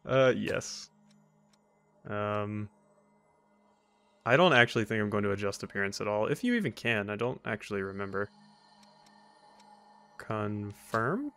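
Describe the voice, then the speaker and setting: A man's voice: on the low side, animated, a little slow, gravelly, long pauses, a bit bright. A man giving casual, rambling gameplay commentary as a let's play host, with wry asides. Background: faint game music.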